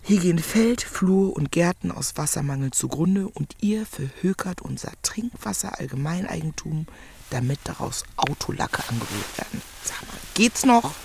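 An actress playing an earnest, outspoken village campaigner in a naturalistic radio play, her voice sometimes breathy and half-whispered. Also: fairly low pitch, animated, moderate pace, darkish tone, heavily acted. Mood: indignant. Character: outspoken village woman, earnest, indignant campaigner against drought.

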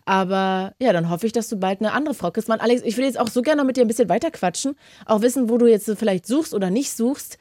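Woman radio host, quick chatty delivery, dry close studio sound. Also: fairly high pitch, dry recording. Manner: chatty, warm-hearted advice, fast talking. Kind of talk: casual radio phone-in chat.

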